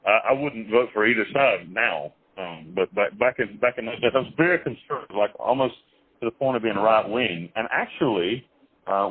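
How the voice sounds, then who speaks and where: A man being interviewed over the phone, telling his life story in a rambling, halting way with many restarts and some blunt, crude wording. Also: lowish voice, breathy edge. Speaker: interview guest. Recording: tinny phone line.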